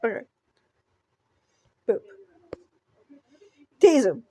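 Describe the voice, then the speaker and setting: A woman reading a visual novel aloud as she plays, with playful, halting delivery and casual asides. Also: dark tone, dry recording, clean studio sound. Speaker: gameplay streamer.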